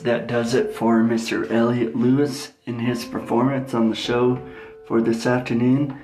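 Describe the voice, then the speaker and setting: A man hosting a podcast, giving a casual outro in a halting, phrase-by-phrase manner. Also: medium pitch, darkish tone. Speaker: podcast host.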